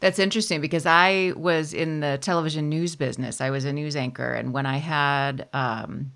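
A woman with a Wisconsin accent hosts the interview, asking friendly, probing questions. Her voice sits a little low but has a bright, forceful edge. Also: fairly even intonation, normal speed, vocal fry. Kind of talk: casual interview chat.

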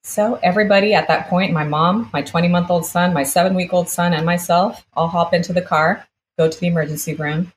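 A woman's voice: lowish voice, fairly even intonation, a little fast, slight background noise. A woman from the United States, born in Brazil, telling a personal story conversationally as an interview guest, quoting people as she goes.

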